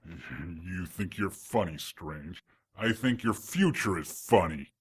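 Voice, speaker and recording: An actor playing a threatening, taunting demon prisoner with a husky voice in an audio drama. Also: male voice, low voice, animated, slow, full character voice. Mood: sneering. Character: menacing demon prisoner hiding under a cloaking spell.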